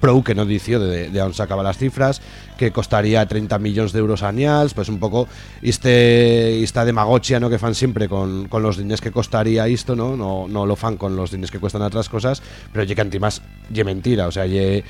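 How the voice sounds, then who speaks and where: Radio contributor, male, Aragonese accent, lowish voice, informal and sardonic political commentary, halting delivery over music. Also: fairly even intonation, a little mellow. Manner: hesitant, halting, with repeated filler words.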